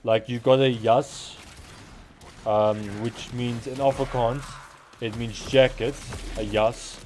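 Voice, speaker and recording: A young man with an accent that blends South African and Turkish chats loosely through a live gaming stream. He rambles from thought to thought, filling gaps with "like", at a middling pitch, with faint noise behind him. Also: steady tone, takes it slowly, darkish tone. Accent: mix of South African and Turkish. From born in Turkey, raised in South Africa. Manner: chatty and rambling, heavy on "like". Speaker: game streamer.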